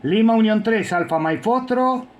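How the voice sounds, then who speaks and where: A man's voice: high-pitched, lively intonation, unhurried, dull audio. A man with a Buenos Aires accent chatting casually as an amateur radio operator, using radio jargon and call signs. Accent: Buenos Aires Spanish. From Argentina. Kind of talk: casual radio contact while testing equipment.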